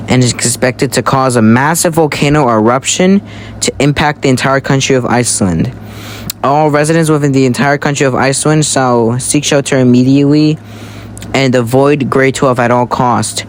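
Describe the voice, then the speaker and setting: An amateur creator reading a scripted emergency alert in a halting, improvised announcer manner. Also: mid-range voice, slight background noise. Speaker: hobby scenario creator and narrator.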